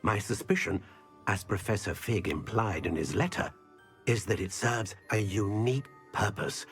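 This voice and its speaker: A man acting a wise old wizard guardian in a fantasy game. His voice is low and solemn, formal and measured, ringing in a long reverb with faint music underneath. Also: fairly bright tone, close to an everyday voice. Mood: cautioning.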